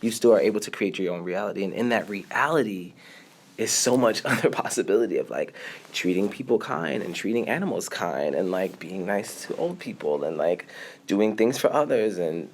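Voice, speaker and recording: A man, a podcast guest, speaking in a casual interview with animated storytelling that often laughs and trails off quietly. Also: fairly low pitch, normal speed, slight background noise.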